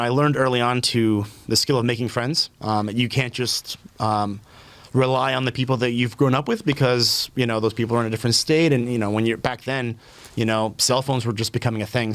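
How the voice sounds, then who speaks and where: A man's voice: mid-range voice, fairly quick, dry recording. A man in his thirties from Puerto Rico, a podcast guest talking casually with lots of ums and restarts. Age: 30s. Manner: relaxed, many fillers and restarts. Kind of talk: casual conversational interview.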